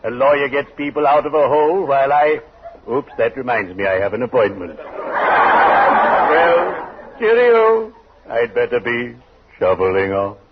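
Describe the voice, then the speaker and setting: An actor playing a pompous, morbidly jolly undertaker in a 1940s radio comedy, with drawn-out theatrical delivery. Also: male voice, mid-range voice, animated, very noisy, natural voice. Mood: pompous, wry. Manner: solemn, drawn-out, theatrical delivery with macabre puns. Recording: muffled, worn 1940s radio recording.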